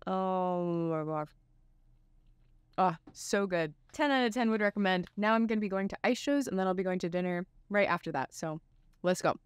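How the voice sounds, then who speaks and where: A woman's voice: medium pitch, quick pace, clean tone, no room sound. A woman in her twenties chatting casually to camera as a vlog host, with an upbeat, exclaiming manner. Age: 20s. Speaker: vlog host, cruise ship crew member. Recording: music behind the voice. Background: background music.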